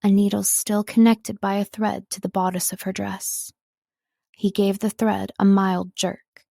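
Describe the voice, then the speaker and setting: A woman reads scripted fiction as an audiobook narrator. Her delivery is slow and expressive, with a creaky edge at a middle pitch. The sound is close and dry. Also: dry recording. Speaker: audiobook narrator, voice-over artist.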